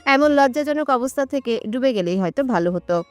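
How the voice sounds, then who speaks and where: A woman's voice: high voice, animated, fairly quick, soft background music. A woman giving a scripted narration of a romantic story.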